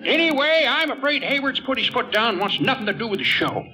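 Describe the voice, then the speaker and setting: Old vaudeville comic, very high and sharp, sly, chatty, theatrical, 1940s radio play. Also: male voice, very animated, fairly quick, full character voice, poor audio. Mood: sly, chummy. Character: wily, chatty old male vaudeville comedian. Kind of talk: 1940s radio comedy acting. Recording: live radio play, faint music.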